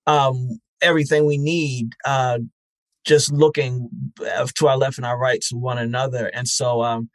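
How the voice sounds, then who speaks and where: A man gives thoughtful interview answers in a medium-pitched voice. He speaks earnestly and haltingly, often repeating words while he works out a thought. The sound is dry, with very little room in it. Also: level tone, no room sound. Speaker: interview guest, festival founder. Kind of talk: reflective conversational interview.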